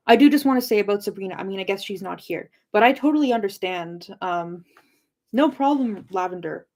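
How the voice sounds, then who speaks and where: A woman's voice: medium pitch, expressive, brisk, a little mellow. A young woman chatting casually with live viewers, informal and rambling as she drifts between points. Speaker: livestream host of a book discussion.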